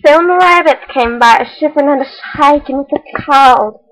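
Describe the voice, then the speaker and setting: Woman putting on a high-voiced quirky storybook reader, mock foreign accent. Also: a little slow, background noise. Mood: quirky storytelling. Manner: exaggerated storytelling with odd, solemn asides. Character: Jo, quirky, earnest children's read-aloud hostess. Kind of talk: parody storybook read-aloud with deadpan asides.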